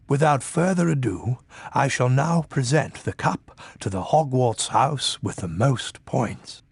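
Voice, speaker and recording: Old wizard headmaster, creaky voice with big swells, ceremonious and kind, video game. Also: male voice, mid-range voice, expressive, dry recording, exaggerated voice. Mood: formal. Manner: ceremonious, measured. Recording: faint music behind the voice. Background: faint music.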